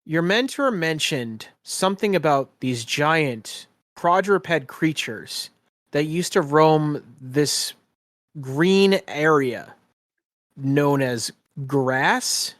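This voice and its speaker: A man's voice: fairly high pitch, animated, extremely slow, a bit bright, no echo, studio quality. A man narrating a tabletop game as game master in a descriptive storytelling style.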